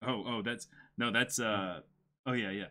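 A man's voice: lowish voice, fairly even intonation, measured pace, sharp tone. A man in his twenties co-hosting a dramatic reading, moving between casual chat and reading from a script. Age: 20s. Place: small indoor room, seated before a backdrop.

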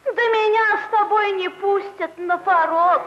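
A woman's voice: extremely high pitch, a little slow, slight background noise. A woman playing a sly, sweet-talking fox in a 1940s cartoon fairy tale, theatrical and wheedling in sing-song rhyme. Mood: coaxing. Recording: dull old 1940s film soundtrack.

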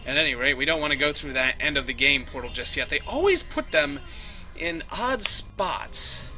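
Goofy, bright-voiced man playing a game. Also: a little high, lively intonation, normal speed. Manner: goofy, jokey, talks to the game characters. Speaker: let's play host. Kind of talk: casual gameplay commentary. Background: game music.

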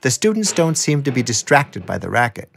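A man's voice: mid-range voice, big pitch swings, fairly quick, gravelly, fairly bright tone, a little hiss or hum. A man reading scripted first-person documentary narration.

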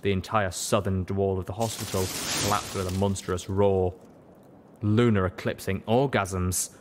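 A man's voice: low-pitched, steady tone, unhurried, background noise. A man reading scripted story narration as an audiobook narrator. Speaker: audiobook reader.

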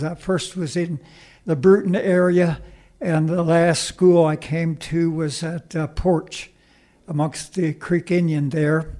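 An elderly man in his eighties with a Pennsylvania Dutch accent, telling old stories in a folksy manner on a radio show. His voice sits a bit high for a man, and he takes his time with slow, unhurried speech. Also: mellow. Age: 80s. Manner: folksy, chuckles often. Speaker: radio interview guest. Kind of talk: casual reminiscing. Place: radio studio interview.

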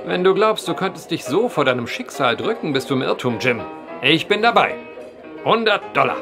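Wry, lively teasing gambler. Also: male voice, on the high side, normal speed, over music. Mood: challenging. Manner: wry, mocking. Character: teasing, unyielding male gambler friend. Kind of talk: German audio drama acting.

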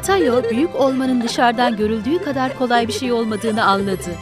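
A woman's voice: on the high side, moderate pace. A woman reading scripted narration for a children's story. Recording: loud music under narration.

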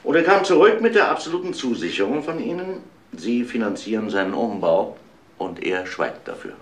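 An actor playing an insistent, accusing police inspector in a German crime audio play, questioning with deliberate emphasis. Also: male voice, medium pitch, sing-song. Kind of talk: German radio crime drama acting.

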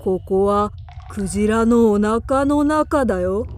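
A woman playing a friendly, easygoing tuna fish in a radio drama, casual and unhurried. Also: medium pitch, a little mellow, a little hiss or hum, close to an everyday voice. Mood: calm curiosity. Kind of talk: Japanese radio drama acting.

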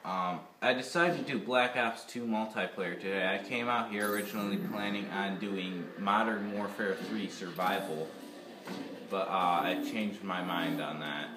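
A man's voice: on the low side, unhurried, background noise. A teenage boy recovering from a cold, stuffy and sore-throated, chatting casually with many ums while playing a shooter. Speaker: gaming YouTuber. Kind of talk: casual gameplay commentary. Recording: echoey room with loud game music. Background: loud game music and gunfire.

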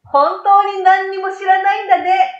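A woman voicing an excitable, incredulous young boy with emphatic, casual childlike speech in a storybook reading. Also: extremely high pitch, moderate pace, reverberant, highs cut off, pitched above the performer's own voice. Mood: matter-of-fact. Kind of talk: storybook radio drama reading.

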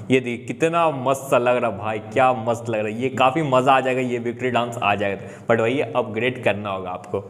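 Young indian guy hyping up a game update, chatty, kinda high voice. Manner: upbeat, chatty, mixes English words into Hindi. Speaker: gaming YouTuber. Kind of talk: excited gameplay update commentary.

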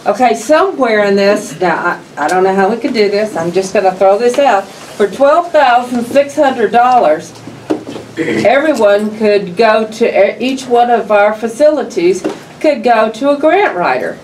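An older woman, a county justice of the peace, speaking loudly and plainly at a public meeting and reading out figures. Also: on the high side, animated, unhurried. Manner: loud, plainspoken, reads figures aloud. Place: county meeting room, around a long table. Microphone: table microphone, camera across the room. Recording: distant mic, faint room noise.

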